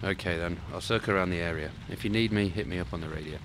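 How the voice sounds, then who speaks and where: A seasoned, grouchy detective sergeant played by a man in a radio drama. He speaks very low and quickly, with sardonic loyalty, over noticeable outdoor noise. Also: close to an everyday voice. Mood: gruff concern. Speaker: actor in amateur college radio play. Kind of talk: radio-play dialogue.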